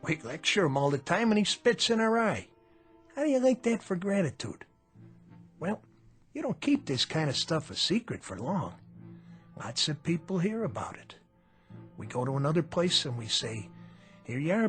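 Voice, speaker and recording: A man's voice: on the high side, big pitch swings, moderate pace, faint music behind. An actor playing a menacing, mocking gangster boss with streetwise tough-guy talk in a radio drama. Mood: coldly menacing. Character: menacing, sneering male gangster boss bullying his underling.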